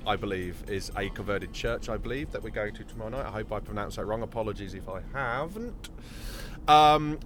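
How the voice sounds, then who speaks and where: A man's voice: mid-range voice, a bit bright. A British man, a touring actor, chatting casually while on the road, full of ums and restarts. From Britain. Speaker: touring actor and podcast co-host. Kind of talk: casual chat recorded on the road. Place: moving van on tour.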